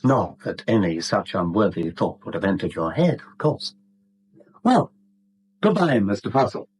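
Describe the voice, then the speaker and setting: An actor playing a smug English blackmailer with a husky voice and mockingly polite manner, in a 1970s radio drama. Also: male voice, on the low side, big pitch swings, a little slow, a little mellow, slight background noise. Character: smooth-talking, smug male blackmailer posing as genial customer.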